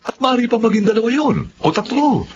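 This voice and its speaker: A man's voice: very high voice, expressive, fairly quick. An actor with a Filipino accent playing an ambitious, persuasive political organizer courting his friends in a radio drama. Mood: jovial, persuasive. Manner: persuasive, jovial, peppers talk with questioning tags. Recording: dull lo-fi audio with background noise.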